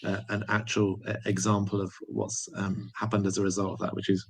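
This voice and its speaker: Guy hosting an interview, lowish voice, upbeat, lots of restarts. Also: monotone, brisk, gravelly, husky. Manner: encouraging, many false starts. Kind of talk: casual interview.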